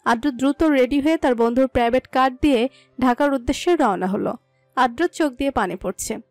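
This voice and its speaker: High-pitched woman narrating a story. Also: fairly quick, dry recording, faint music behind. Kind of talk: scripted dramatic story narration in Bengali.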